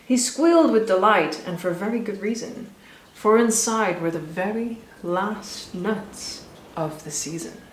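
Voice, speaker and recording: Woman, storybook narration, slow measured pace, expressive rhythmic phrasing, roomy echo. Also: medium pitch, slight background noise. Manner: expressive, rhythmic rhyming delivery. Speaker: zookeeper reading a children's story. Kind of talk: scripted storybook narration for children. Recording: echoey room.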